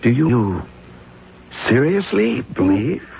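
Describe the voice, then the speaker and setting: An actor in a 1970s radio drama playing a brooding husband with dark secrets, speaking in a deliberate, dramatic, self-questioning way. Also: male voice, medium pitch, sing-song, creaky, a little hiss or hum, exaggerated voice. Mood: taunting, wounded. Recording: dull 1970s radio recording with faint music.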